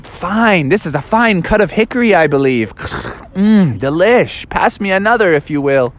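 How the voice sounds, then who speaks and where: A man's voice: high-pitched, lively intonation, measured pace, background noise. A man voicing a fussy, refined male beaver with a posh, mock-refined way of talking. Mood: savoring.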